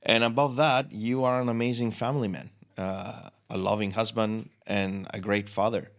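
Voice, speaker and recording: Low-voiced male host, full of praise. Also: fairly even intonation, normal speed. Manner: warm, complimentary, stumbles and restarts. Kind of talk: casual interview chat.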